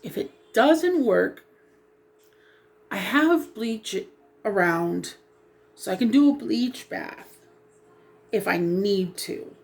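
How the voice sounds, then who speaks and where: A woman's voice: medium pitch, lively intonation, slow pace, gravelly, long pauses, a little mellow. A woman vlogging casually about dyeing her hair, hesitant and rambling with many ums, sniffly and a little froggy from a lingering cough. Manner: hesitant, rambling, full of ums, self-apologizing. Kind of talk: casual chat while doing hair.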